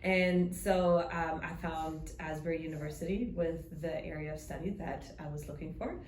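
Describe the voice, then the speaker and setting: A woman's voice: deep voice, steady tone, moderate pace, roomy sound, slight background noise, poor audio. A woman in her thirties from Germany, with a German accent tinged with a New Zealand accent, telling her story in casual chat with many ums. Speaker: guest.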